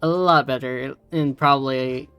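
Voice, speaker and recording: A woman's voice: extremely low pitch, fairly even intonation, a little slow, fairly bright tone, clear, resonant tone. A woman talks casually as a tutorial co-host who is still learning. Her delivery is easygoing and a little hesitant, and faint music plays behind her. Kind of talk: casual chat, tutorial recap.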